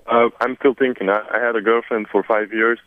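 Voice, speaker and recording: A man's voice: medium pitch, steady tone, dry recording. Serbian young man on a tinny phone line. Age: 20s. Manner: halting, many repeated words. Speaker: drafted basketball player. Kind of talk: phone press conference answers.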